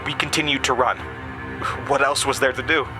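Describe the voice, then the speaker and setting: A man's voice: mid-range voice, fairly even intonation, normal speed. A man narrating a horror audio drama in the first person, with a grave, suspenseful delivery. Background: music.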